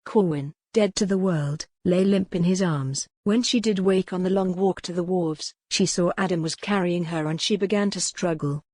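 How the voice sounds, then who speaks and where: A woman's voice: lowish voice, normal speed, hardly any pauses, darkish tone, no room sound, studio quality. A woman reading scripted dramatic narration of a historical romance as an audiobook narrator.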